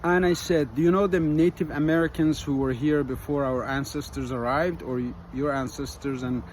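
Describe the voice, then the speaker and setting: A man's voice: fairly high pitch, fairly even intonation, a little mellow, low-quality recording. Earnest middle-aged man, street noise behind. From Iran. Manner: earnest, plain-spoken, slightly halting. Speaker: business coach. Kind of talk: direct-to-camera advice video. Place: outdoors in an urban area.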